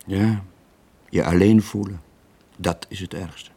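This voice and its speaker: An actor playing a weary, disillusioned middle-aged former sailor in a radio drama, terse and resigned. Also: male voice, low voice, steady tone, takes it slowly, dark tone, slightly rough, full character voice. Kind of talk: 1980s Flemish radio drama acting.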